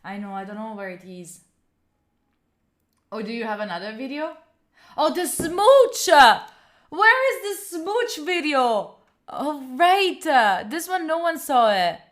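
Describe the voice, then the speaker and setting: A woman's voice: high-pitched, expressive, a little slow, a bit bright. A young woman with an Italian accent chatting casually on a live stream, rambling and giggling with lots of fillers. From Italy. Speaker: study streamer.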